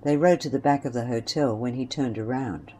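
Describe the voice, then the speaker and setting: A woman's voice: a bass voice, brisk, warm tone. A woman narrating a scripted western story. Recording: faint background noise and ambience.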